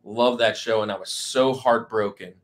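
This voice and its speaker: A man's voice: fairly low pitch, steady tone, normal speed. A middle-aged man hosting a podcast in casual, enthusiastic, informal chat. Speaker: podcast host.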